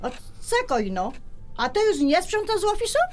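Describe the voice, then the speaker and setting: A scolding highland mother. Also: big pitch swings, moderate pace, soft background music. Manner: scolding, sharp, regional speech. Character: scolding Polish mother, middle-aged wife. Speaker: wife Kasia. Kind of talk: radio drama acting.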